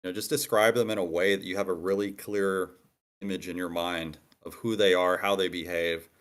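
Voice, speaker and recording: A man with a lowish voice debates casually in a workshop. He explains by example, often restarting and rewording his argument as he works through it. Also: steady tone, fairly quick, a bit bright. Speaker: participant in a screenwriting critique.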